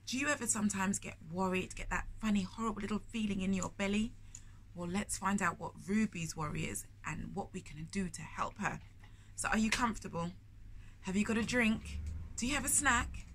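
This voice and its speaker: A woman's voice: mid-range voice, brisk, fairly bright tone. A middle-aged woman hosting storytime, reading a picture book aloud expressively, with chatty asides and questions for young listeners. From lives in London, England. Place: indoors in a bookshop. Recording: clear background noise, shop ambience. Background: background ambience noise.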